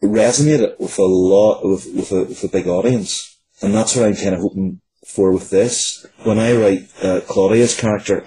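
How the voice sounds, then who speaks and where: Deep-voiced man in his forties, mellow. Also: husky, rough recording. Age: 40s. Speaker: writer, interview guest. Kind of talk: conversational interview answers.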